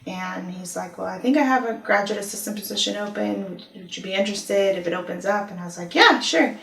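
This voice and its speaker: A woman in her thirties from Ecuador, a university office director, telling her life story in a relaxed interview with frequent pauses. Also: mid-range voice, vocal fry. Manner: thoughtful, many "um" pauses, storytelling. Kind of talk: conversational testimony interview. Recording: echoey room, mic at a distance.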